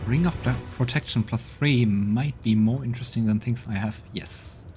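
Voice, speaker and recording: A man hosting a video game let's play, thinking aloud in a relaxed, wry way as he plays. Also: mid-range voice, moderate pace. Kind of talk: casual gameplay commentary. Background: game music.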